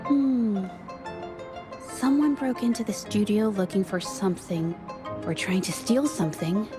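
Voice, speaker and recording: A woman playing a polite, thoughtful young female investigator in a video game, speaking in a measured, gentle, analytical way. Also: medium pitch, close to an everyday voice. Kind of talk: video game story voice acting. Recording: music under the voice.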